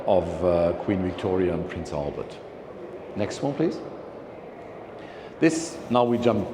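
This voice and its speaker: A man giving a slide talk to an audience, speaking haltingly with many fillers and restarts and calling for the next slide. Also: fairly low pitch. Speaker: presenter at a philatelic society meeting. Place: meeting hall. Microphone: handheld or lapel mic. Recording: echoey hall, noisy room recording.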